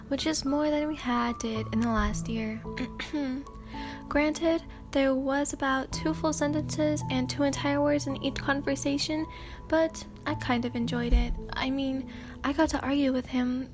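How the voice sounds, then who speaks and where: A woman with a high voice reads a story in a hushed, sleepy ASMR tone. She keeps an even, level delivery, pausing on fillers and clearing her throat now and then. Music plays softly behind her. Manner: soft and hesitant, many fillers and throat clears. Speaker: ASMR channel host reading fan fiction. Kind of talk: sleepy soft-spoken ASMR reading.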